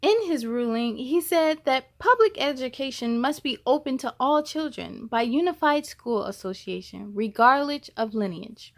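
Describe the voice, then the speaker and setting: A woman with a fairly high voice reads a picture book aloud for story time. Her delivery is deliberate and expressive, placing emphasis on key words as a storyteller would for young listeners. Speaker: host of a children's read-aloud story time.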